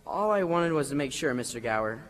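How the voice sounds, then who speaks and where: A man's voice: medium pitch, lively intonation, brisk, a bit bright, lightly acted. A male actor playing an earnest, loyal young boy in a stage radio play. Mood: frightened, pleading. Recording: hall reverb on a stage.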